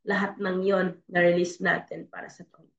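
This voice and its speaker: A woman's voice: lowish voice, little pitch movement, a little fast, gravelly. Fervent Filipino woman leading prayer. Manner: fervent, repetitive, switching between English and Tagalog. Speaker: prayer leader and host. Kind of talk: spoken prayer and closing announcements. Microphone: video call. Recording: dull lo-fi call audio in an echoey room.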